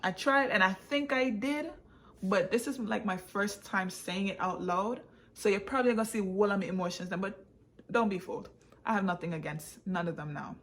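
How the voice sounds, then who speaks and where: A woman's voice: medium pitch, normal speed, fairly bright tone. A woman telling a personal story casually, repeating phrases for emphasis and slipping into Patois. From born in Jamaica, raised in England and the United States. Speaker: vlogger and author telling her own story.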